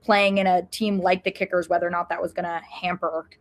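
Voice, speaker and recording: A woman's voice: fairly low pitch, little pitch movement, a little fast. A woman co-hosting a sports podcast, chatting casually in a conversational, articulate way. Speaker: podcast co-host.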